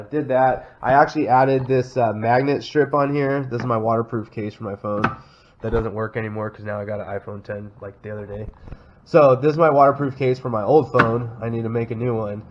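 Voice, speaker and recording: A Texan man with a stuffy, congested voice from a head cold, chatting loosely about his kayak setup. He rambles with plenty of fillers and restarts at a middling pitch. The recording sounds a little dull, with faint background noise. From Texas. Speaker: kayak vlogger. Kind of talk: casual show-and-tell walkthrough.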